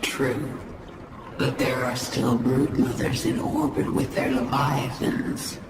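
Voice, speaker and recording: An actress playing a grim, vengeful alien swarm queen in a game cutscene, measured and commanding, with an echoing processed voice. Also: very deep voice, little pitch movement, background noise, heavily acted. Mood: grave resolve. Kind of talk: dramatic game cutscene acting. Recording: echoing, distant, under loud music.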